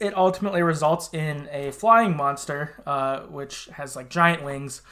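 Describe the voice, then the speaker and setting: A man's voice: on the high side, unhurried, sharp tone. A man hosting a casual gaming podcast, talking informally and often qualifying what he says. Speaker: podcast host.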